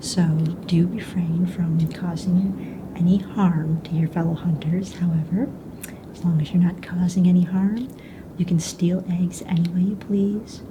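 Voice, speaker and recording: Casting: a woman as a friendly, unhurried fantasy hunt hostess for ASMR, whispering softly in a slightly low register over clear background noise. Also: fairly even intonation, lightly acted. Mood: calm, instructive. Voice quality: soft, breathy whisper. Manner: casual, hesitant, explanatory, matter-of-fact about rules and danger. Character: calm, friendly mistress of ceremonies of a fantasy dragon egg hunt. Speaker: ASMR roleplayer. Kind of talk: soft-spoken whispered ASMR roleplay. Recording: noisy close recording with low rumble.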